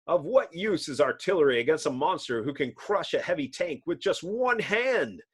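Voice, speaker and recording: A man's voice: on the high side, breathy edge. A man narrating a comic book dramatically, with theatrical, suspenseful emphasis.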